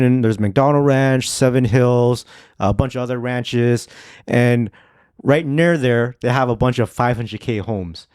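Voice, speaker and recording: A man hosting a podcast, talking in a relaxed, chatty way that is full of "like" and "you know". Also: mid-range voice, smooth, no echo, studio quality. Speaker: podcast host. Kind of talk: casual chat. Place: podcast studio.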